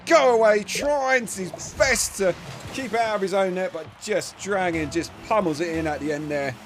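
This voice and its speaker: A man giving chatty live play-by-play commentary on a match, his voice starting to go hoarse. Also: high voice, moderate pace, a little hiss or hum. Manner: chatty, self-deprecating asides, bursts of excitement on big plays. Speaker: esports commentator.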